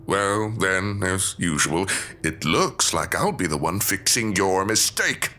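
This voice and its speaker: A man playing a gruff, jaded older man with a gravelly, deliberate, dry delivery in an audio drama. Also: deep voice, expressive, sharp tone, full character voice. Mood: menacing.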